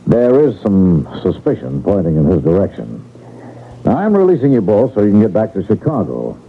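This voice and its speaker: An actor playing a shrewd, affable railroad detective with a folksy, commanding manner in an old radio drama. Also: male voice, on the low side, wildly swooping pitch, moderate pace, breathy edge, full character voice. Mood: measured, confiding. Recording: tinny, narrow radio drama recording.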